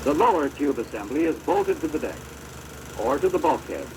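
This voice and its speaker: An American man giving formal, measured instructional narration for a training film, on a deteriorated old projector soundtrack. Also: a little high, expressive, noisy background.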